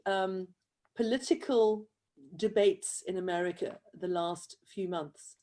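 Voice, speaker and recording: A woman's voice: mid-range voice, warm tone. A woman with a South African accent hosting an interview, speaking earnestly and reflectively in long, building runs of thought. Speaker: host. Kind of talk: conversational interview.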